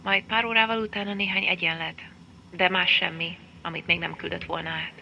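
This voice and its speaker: An actress playing a woman recording a log of sparse contact, measured and matter-of-fact, for an audio drama. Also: fairly low pitch, steady tone, gravelly, pushed and bright, full character voice. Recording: dull radio-like sound, faint noise.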